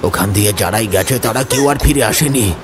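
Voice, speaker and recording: A man's voice: lowish voice, brisk, noisy background, natural voice. A man voicing a worried, cautioning village father in a horror cartoon, speaking with grave urgency. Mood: fearful, warning. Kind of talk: dramatic horror-cartoon voice acting. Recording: loud music under the voice.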